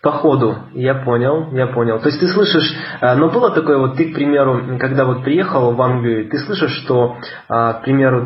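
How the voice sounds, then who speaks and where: A man asking long, rambling interview questions in a casual conversation, full of fillers. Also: medium pitch. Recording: echoey, slightly dull room sound.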